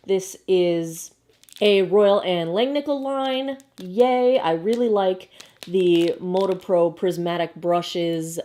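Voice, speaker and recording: Hoarse chatty woman vlogger. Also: lowish voice, darkish tone. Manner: chatty, bubbly, quick asides. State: hoarse, frog in throat. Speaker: beauty vlogger. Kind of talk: casual unboxing chat.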